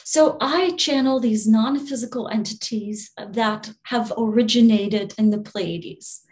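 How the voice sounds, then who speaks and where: A young woman from Albania, a spiritual channeler, talking in a relaxed interview, soft-spoken with frequent laughs and restarts. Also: fairly high pitch, a little slow.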